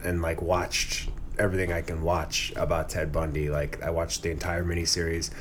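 A man's voice: deep voice, flat delivery, moderate pace, a bit bright, slight background noise. A slightly congested man co-hosting a podcast, chatting casually about film and TV in a dry, teasing manner. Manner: dry, teasing, mildly profane. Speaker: podcast co-host. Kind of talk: casual chat about movies and TV.

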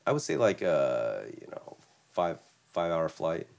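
A man's voice: low-pitched, wildly swooping pitch, measured pace, creaky. A young American man in casual chat, laid-back and slangy, often saying "man" and "you know what I mean". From United States.

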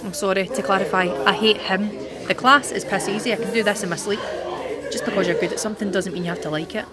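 A woman playing a defiant, sharp-tongued teenage schoolgirl with snappy sarcasm in an audio drama. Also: medium pitch, fairly even intonation, fast, natural voice. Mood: cheeky grumbling. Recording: busy chatter behind the voice.

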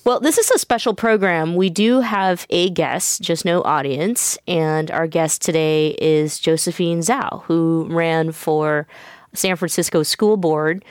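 A woman talk show host with a low, smooth voice. She talks in a chatty, loose way full of fillers and restarts. The sound is dry and close. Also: slow, no echo. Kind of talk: casual interview.

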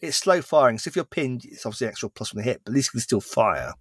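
Male podcast co-host, middle-pitched, explains rules at length, dry recording. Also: sing-song, fast talker, slightly rough, no room sound. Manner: explains rules in long runs, with many restarts. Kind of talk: casual chat about tabletop wargame rules.

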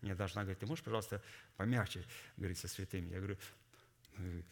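Low-voiced man preaching, slightly hoarse. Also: fairly even intonation. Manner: didactic, formal, deliberate exposition. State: hoarse from a cold. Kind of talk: sermon with scripture readings and exposition.

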